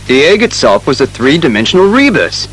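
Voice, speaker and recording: An actor playing a brisk, exasperated male police commissioner in an audio drama, testy and emphatic. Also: a little high, very animated, a bit bright, soft background music.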